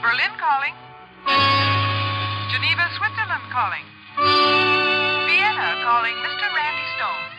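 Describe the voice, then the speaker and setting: An actress playing a brisk, formal overseas telephone operator with sing-song announcing in a 1950s radio drama. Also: female voice, high voice, sing-song. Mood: brisk, businesslike. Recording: muffled, echoing old radio broadcast, music behind.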